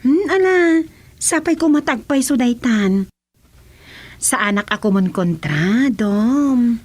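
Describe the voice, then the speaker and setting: Slow, high-pitched teasing Ilocano woman. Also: animated, a little mellow. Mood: teasing, cautioning. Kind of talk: Ilocano radio drama comedy acting.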